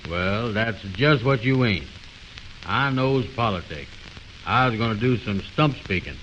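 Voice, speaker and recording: A low-pitched, blustering know-it-all lays down his opinions in a 1920s radio comedy. He is pompous and muddle-headed, with folksy, ungrammatical speech. The sound is a dull old recording with faint hiss. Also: animated, a little slow, fairly bright tone.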